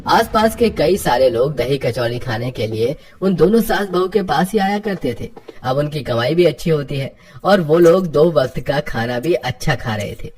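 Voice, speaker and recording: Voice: mid-range voice, expressive, normal speed, darkish tone, husky. A narrator reading a scripted story in a storybook style.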